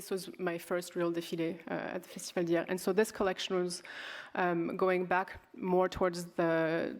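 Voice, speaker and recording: A woman in her thirties, who grew up in California, presents her work as an artist and fashion designer in a festival talk, speaking unhurriedly and hesitantly with many fillers and restarts. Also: lowish voice.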